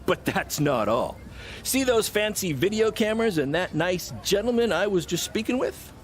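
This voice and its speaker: A scheming, upbeat wrestling promoter pitches like a born salesman. His delivery is lively and swooping, sitting on the high side. It is theatrical video game acting, with faint noise behind it. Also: normal speed. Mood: boastful. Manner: salesman-like, self-satisfied, theatrical. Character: brash, scheming indie wrestling promoter, relentlessly upbeat hype man.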